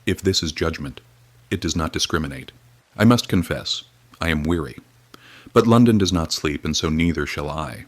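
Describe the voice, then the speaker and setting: An actor playing a weary, grim radio reporter, speaking in a solemn, measured, grave way. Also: male voice, rumbling low, lively intonation, no room sound. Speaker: field reporter. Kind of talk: dramatic period radio reporting.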